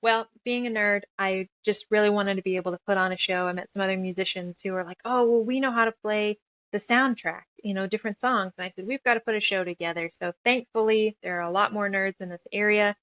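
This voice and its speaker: A woman's voice: medium pitch, steady tone, fast, fairly bright tone, dry recording, muffled recording. A woman chatting casually as an interview guest, talkative and self-deprecating. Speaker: guest author and musician.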